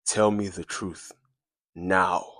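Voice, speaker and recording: A man's voice: low-pitched, fairly even intonation, extremely slow, fairly bright tone, no room sound, exaggerated voice. A man voicing a distraught but determined mother, urgent and confrontational, in a dramatic story. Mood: demanding.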